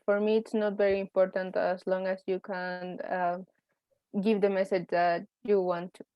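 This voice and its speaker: A woman's voice: medium pitch, little pitch movement, normal speed, dry recording, muffled recording. A young woman with a Spanish accent, a university student speaking hesitantly in a class discussion. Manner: hesitant, many restarts and fillers.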